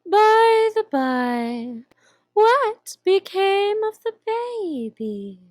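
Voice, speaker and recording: A woman voicing a sly, teasing cat in a storybook reading, drawing out her words slowly. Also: very high-pitched, big pitch swings, a little mellow, no echo, studio quality, voice pushed up, heavily acted. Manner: slow, drawn-out, teasing delivery.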